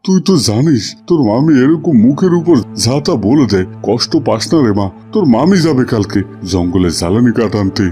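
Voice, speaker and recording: Fatherly village uncle for fairy-tale cartoon man acting, warm dark tone, patient and protective, light music behind. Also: medium pitch, sing-song, brisk, hardly any pauses, natural voice. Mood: concerned, consoling. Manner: reasoning, fatherly, gently remonstrating. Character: kindly, hardworking middle-aged village uncle, protective and patient.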